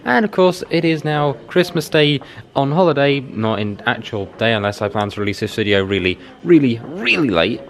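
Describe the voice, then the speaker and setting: A man's voice: mid-range voice, animated, moderate pace. A man giving casual travel vlog narration in a chatty, self-deprecating way. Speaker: travel vlogger.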